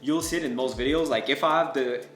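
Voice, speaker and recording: Québécois-accented man, 30s, relaxed vlogger chat, echoey room, faint music. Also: mid-range voice, quick pace. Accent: Québécois French. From Quebec, Canada. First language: French. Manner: easygoing, laughs often, says "like" a lot. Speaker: travel vlogger. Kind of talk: casual chat.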